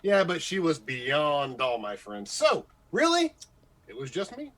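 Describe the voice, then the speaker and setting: A man acts out an insecure, excitable male morning host for a radio comedy. His voice is bright and fairly high, with big swings in pitch. He gushes and stammers, evasive and jealous underneath. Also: unhurried, heavily acted. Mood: nervous, fishing. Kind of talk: radio comedy-drama acting.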